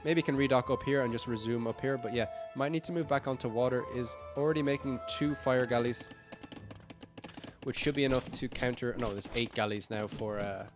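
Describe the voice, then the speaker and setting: A man with a croaky, tired voice giving live play-by-play commentary on a strategy game, upbeat and rambling with frequent fillers and self-corrections. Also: medium pitch, fairly even intonation, fairly quick, music in the background. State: croaky, tired voice late in a long stream. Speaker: esports caster.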